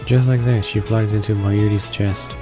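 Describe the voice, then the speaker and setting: A man's voice: fairly low pitch, steady tone, normal speed. A man hosting a playthrough, reading a visual novel aloud and stumbling now and then over words. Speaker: let's play host. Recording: voice-over with game music behind.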